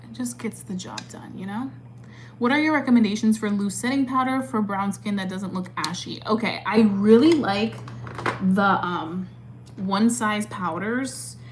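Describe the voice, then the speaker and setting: A woman's voice: medium pitch, a little slow, noisy background. A woman in her twenties chatting casually while doing her makeup and answering live chat, upbeat, with plenty of "like" and "um". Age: 20s. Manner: chatty and upbeat, filler-heavy with many "like" and "um".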